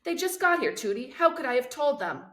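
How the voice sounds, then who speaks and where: Woman reader as a caring, brisk mother. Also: high voice, big pitch swings, fairly bright tone, pitched above the performer's own voice. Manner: affectionate, brisk, mixes in Spanish words. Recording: roomy, echoing sound.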